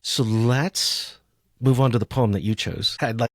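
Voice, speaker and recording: A man's voice: mid-range voice, steady tone, unhurried, no room sound, clean studio sound. A man from the United States hosting a conversational interview in an attentive, reflective way. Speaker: podcast host.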